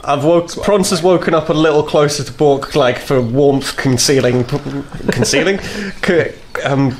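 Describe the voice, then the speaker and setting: A man at a tabletop game who talks his character through each move in loose, rambling bursts, laughing and stumbling over his words. His voice sits a little high for a man, with a faint hiss of noise behind it. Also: measured pace. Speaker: player.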